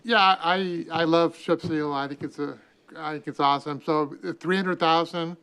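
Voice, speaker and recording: An American man speaks casually as a council member putting questions in a meeting. His voice is a little higher than average for a man. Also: fairly even intonation, moderate pace, a bit bright.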